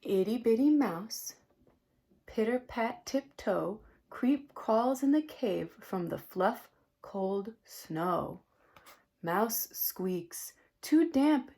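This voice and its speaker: Slow, expressive woman reading a picture book. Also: mid-range voice, gravelly, slightly rough. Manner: expressive, with asides and gasps to young listeners. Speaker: storybook reader.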